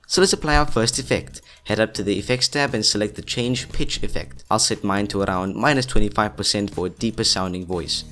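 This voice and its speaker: A man's voice: on the low side, a bit bright. A man narrating an upbeat, scripted tutorial as host, talking chattily and directly to his viewers. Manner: chatty, addresses viewers as guys. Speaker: host of an effects tutorial channel. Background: background music.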